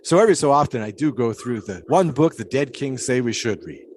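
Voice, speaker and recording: An actor playing a pious, philosophical 1920s flagpole sitter who talks in a folksy, preachy way. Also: male voice, medium pitch, lively intonation, a little fast, a little mellow, a little hiss or hum, close to an everyday voice. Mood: musing. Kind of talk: audio drama acting.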